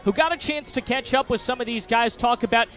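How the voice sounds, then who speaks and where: A man's voice: very high voice, a little fast, fairly bright tone. A man announcing a live sports broadcast and handing off to a colleague.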